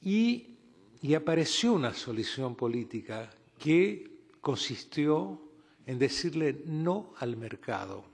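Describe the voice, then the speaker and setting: A man from Argentina with an Argentine accent, an ambassador speaking from the floor at a conference, measured and reflective with many pauses. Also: fairly high pitch, very animated, gravelly, breathy edge. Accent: Argentine Spanish. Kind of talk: formal conference remarks. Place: large conference hall. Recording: reverberant conference hall.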